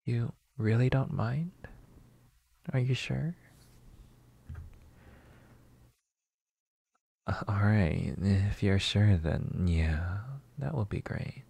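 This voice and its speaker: A man acting a shy, polite, flustered neighbor in a roleplay ASMR, hesitant, apologetic and breathy. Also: on the low side, slow, heavily acted. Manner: hesitant, soft-spoken, apologetic, breathy sighs and whispers.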